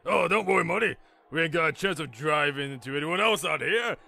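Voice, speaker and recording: A man voicing a boastful, excitable older mad scientist in a blustering, exclamatory manner for a story. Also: a little high, a little fast, creaky, voice pushed up, heavily acted. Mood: breezy.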